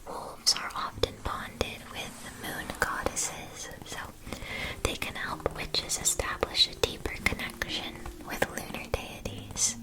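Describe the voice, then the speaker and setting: A woman playing a gentle, attentive witch-school shopkeeper in a soft, soothing ASMR roleplay. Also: slow, natural voice, rough recording.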